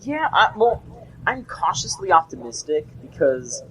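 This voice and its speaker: A man's voice: fairly high pitch, sing-song, unhurried, pushed and bright, a little hiss or hum, rough recording. A young man co-hosting a casual gaming podcast, rambling and often restarting his sentences. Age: young adult. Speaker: podcast co-host.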